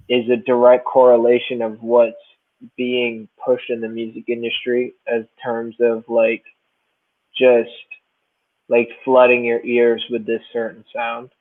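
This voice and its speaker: Fields: slow, halting young man, tinny. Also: medium pitch, completely flat delivery. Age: 20s. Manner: halting, lots of "like". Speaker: podcast co-host. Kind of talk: casual chat. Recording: tinny phone-like sound.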